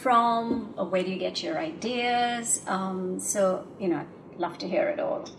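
A woman's voice: medium pitch, unhurried, vocal fry, background noise. A woman podcast host asking long, rambling interview questions full of fillers.

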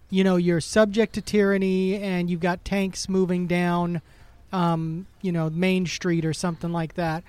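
A man's voice: high-pitched, dry recording. A man co-hosting a podcast, chatting casually in a playful, musing way. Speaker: podcast co-host. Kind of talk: casual banter.

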